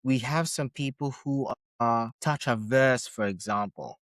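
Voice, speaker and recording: A man's voice: mid-range voice, a little slow, a bit bright, no room sound, studio quality. A middle-aged man, raised in Ghana, Switzerland and the UK, speaking as a guest touch therapist, telling his story in a reflective, explanatory way. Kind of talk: casual interview storytelling.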